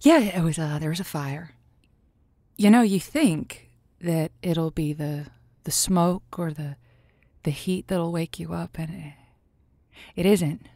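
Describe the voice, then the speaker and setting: Tender, haunted female call-in host for an audio drama: lowish voice, deliberate pauses, intimate dry sound. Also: dark tone, no room sound. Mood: haunted, hesitant. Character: haunted, tender woman radio advice host. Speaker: radio advice show host. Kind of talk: audio drama as late-night call-in radio.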